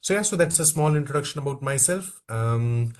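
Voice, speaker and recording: A man from India leading an online training class, who keeps saying "okay" between phrases and coaxes quiet participants to speak. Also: medium pitch, lively intonation, brisk. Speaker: instructor.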